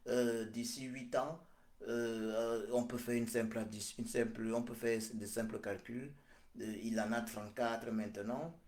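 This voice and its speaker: A man, giving a slow, repetitive prophetic address full of hesitations. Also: medium pitch, fairly even intonation, a little mellow, echoey room. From Cameroon. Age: 40s. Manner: slow, repetitive, hesitant with many pauses and fillers. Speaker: political speaker.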